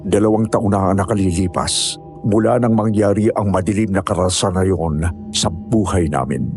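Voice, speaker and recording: Deep voiced guy narrating a scary story in first person, music playing behind him. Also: darkish tone.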